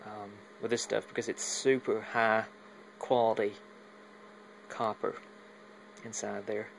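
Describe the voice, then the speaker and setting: Male hobbyist demonstrator, flat and creaky, halting unscripted delivery, filmed on a phone with faint hum behind. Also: lowish voice, poor audio. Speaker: hobbyist demonstrating a home radio antenna setup. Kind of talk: casual unscripted walkthrough demo. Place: at home, moving between indoors and outside. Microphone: handheld phone while filming.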